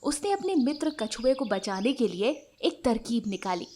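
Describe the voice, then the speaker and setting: A woman narrating a scripted animated fable for children as a storyteller. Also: a little high, normal speed, slight background noise.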